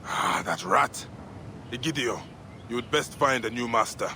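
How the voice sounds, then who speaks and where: A man acting a grim but kind Egyptian warrior and protector in a video game, speaking in a measured, formal way. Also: mid-range voice, lively intonation, pushed and bright, a little hiss or hum. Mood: curt, firm.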